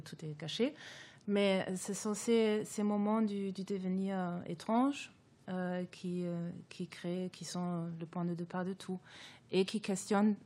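A woman's voice: lowish voice, a little mellow, slight background noise. A woman whose first language is German, a guest author speaking reflectively in an academic discussion, thoughtful and hesitant with many fillers and restarts.

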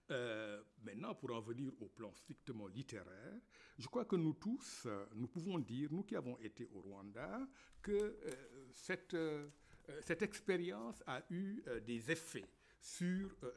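Lively, halting man speaking. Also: medium pitch. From Senegal. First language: Wolof. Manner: halting, many fillers and repeated words. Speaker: novelist and university lecturer. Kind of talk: reflective panel talk.

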